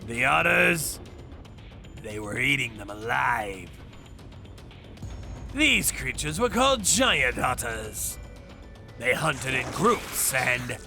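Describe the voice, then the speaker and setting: A man's voice: medium pitch, vocal fry, exaggerated voice. A man voicing a ruthless underworld avenger in a manga dub, menacing and theatrical as he narrates. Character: ruthless male underworld avenger narrating his torture jobs. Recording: background music under voice.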